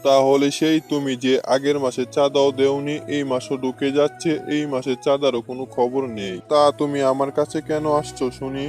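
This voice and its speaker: A man's voice: mid-range voice, flat delivery, fairly quick, few pauses, darkish tone, close to an everyday voice. An actor voicing a harsh king in a cartoon who speaks with command and threats. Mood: stern. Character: stern, harsh king demanding taxes. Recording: background music.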